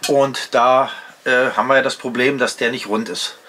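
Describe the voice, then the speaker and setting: A man's voice: medium pitch, steady tone, normal speed, pushed and bright, a little hiss or hum. A man in his fifties hosting a casual gear vlog from his home workshop, chatting to viewers and explaining each step as he shows it. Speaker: outdoor gear channel host. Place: indoor home workshop at a workbench.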